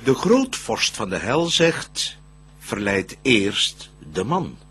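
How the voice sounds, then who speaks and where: An actor playing a shrewd, wary devil in a Dutch verse radio drama, declaiming intensely in a raspy voice. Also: male voice, lowish voice, sing-song, takes it slowly, a bit bright, full character voice. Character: shrewd, wary male devil, conspiring accomplice in temptation.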